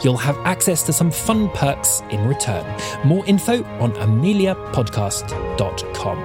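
A woman reads podcast credits and listener appeals in a lively, swooping delivery. Music plays clearly behind her. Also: very deep voice, unhurried.

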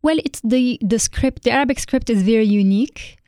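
A woman from Lebanon, a guest artist in an interview, speaking thoughtfully and pausing often with ums. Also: a little high, warm tone, dry recording. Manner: thoughtful, hesitant with frequent ums. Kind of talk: interview conversation.

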